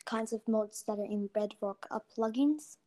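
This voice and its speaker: A woman's voice: medium pitch, moderate pace, very noisy. Hesitant young woman gamer on a cheap mic. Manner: hesitant, many ums and trailing sentences. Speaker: interview guest, gaming YouTuber. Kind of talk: casual chat. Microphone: cheap built-in mic.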